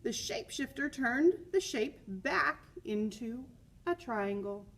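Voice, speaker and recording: Give a woman's voice, slightly high and somewhat husky, reading a picture book to students. She is expressive and leans on key words, dropping in chatty teacher's asides between passages. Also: slow pace. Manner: expressive, with emphatic repeated words.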